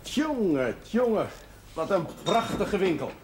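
A jovial village priest. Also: male voice, sits high, a little hiss or hum, heavily acted. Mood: friendly. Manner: jovial and animated, quick to joke. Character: hearty, sporty village priest, middle-aged man. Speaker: parish priest. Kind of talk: 1970s TV drama acting.

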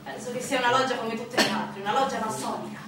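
Actress as fast-talking defiant widow, very echoey. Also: female voice, a little high, lightly acted. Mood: guarded, bitter. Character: banker's widow, mature, guarded, defiant, bitterly loyal to dead husband. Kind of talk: theatrical stage interview dialogue. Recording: very echoey, distant, music under the voice.

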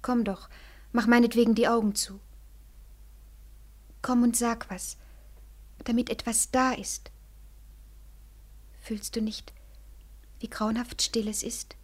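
A woman's voice: fairly high pitch, little pitch movement, slow pace, long pauses, a little mellow. An actress playing a lonely, tender young woman in a 1940s radio play, coaxing and pleading, often sinking into a whisper. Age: young adult.

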